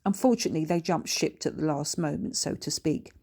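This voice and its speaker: A woman's voice: low-pitched, lively intonation, a little fast, vocal fry, warm tone, husky, full character voice. Woman playing a jaunty, genteel ghostly grandfather. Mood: explanatory. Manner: courteous, old-fashioned, jaunty.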